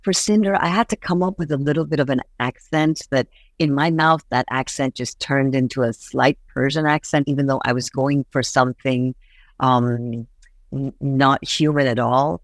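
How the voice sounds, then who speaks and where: Woman from Iran, interview guest, gravelly low-register voice, dry close sound, earnest and halting. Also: fairly even intonation, dry recording. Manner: thoughtful, earnest, with many fillers and restarts. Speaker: voice actress guest. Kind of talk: interview answers.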